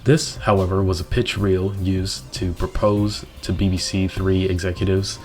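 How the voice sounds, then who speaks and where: A man narrating TV show commentary in wordy, somewhat halting explanations. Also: deep voice, fairly even intonation, darkish tone, faint music behind. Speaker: video essay narrator.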